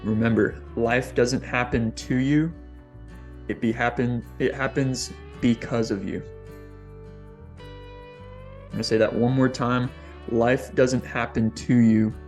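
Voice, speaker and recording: Man guiding a calm meditation. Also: mid-range voice, slow pace, long pauses, soft background music. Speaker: podcast guest, fitness coach. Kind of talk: guided meditation.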